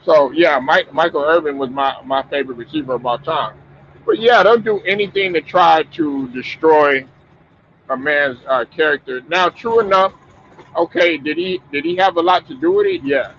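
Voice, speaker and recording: A man with a fairly high, stuffed-up voice talks sports in a loose, rambling way, restarting and repeating himself as he goes. The audio is muffled and lo-fi, with a faint hiss underneath. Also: normal speed, fairly bright tone. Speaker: sports talk show host. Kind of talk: casual sports talk.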